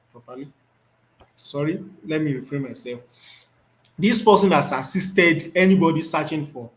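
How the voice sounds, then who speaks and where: A man's voice: on the high side, poor audio. Man teaching a screen tutorial. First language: Yoruba. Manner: thinks aloud, repeats words while reading off the screen. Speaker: instructor.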